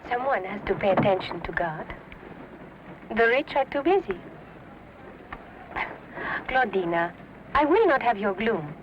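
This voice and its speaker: A woman's voice: mid-range voice, very animated, unhurried, long pauses. A woman playing an imperious, witty wealthy lady in a Western drama, with a worldly, drily cynical way of talking. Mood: haughty, wry. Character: imperious, witty wealthy lady shedding formalities with her servant. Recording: muffled lo-fi, loud background noise.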